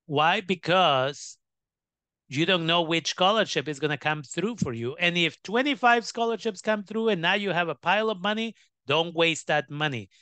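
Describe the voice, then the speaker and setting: Man, higher-pitched voice, dry close sound, emphatic and direct, instructional delivery, educator advising students. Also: normal speed, sharp tone, clean tone, dry recording. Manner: emphatic, direct, checks in with "right" and "okay". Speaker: educator presenting scholarship advice. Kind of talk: instructional talk to an audience of students.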